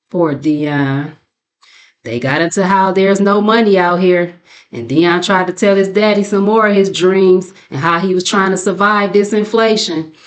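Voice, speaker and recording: An American woman with a slightly low voice hosts a gossip commentary channel. She talks casually and colloquially, with a sassy, mocking edge as she recaps the latest mess. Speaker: commentary channel host and narrator.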